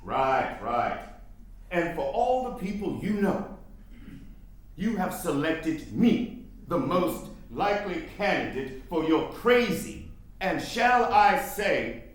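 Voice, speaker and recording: A man's voice: sits high, big pitch swings, slight background noise, exaggerated voice. A man playing a wary, principled nineteenth-century abolitionist in a staged play reading, with formal, emphatic delivery. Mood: exasperated. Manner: emphatic, deliberate, formal diction with dramatic pauses. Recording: very echoey room.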